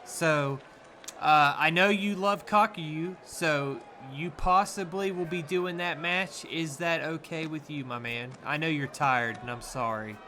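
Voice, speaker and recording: A man's voice: fairly high pitch, unhurried, background noise. A man with a Southern US accent giving laid-back, rambling sumo commentary, laughing easily. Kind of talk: casual live commentary.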